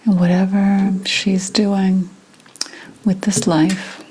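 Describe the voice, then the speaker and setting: An indignant, conspiratorial witch from the 17th century, acted out by a woman in an ASMR roleplay. Her voice is a very breathy, husky whisper at a middle pitch. She speaks slowly and deliberately, leaving dramatic pauses between phrases. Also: flat delivery, a little mellow. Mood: secretive.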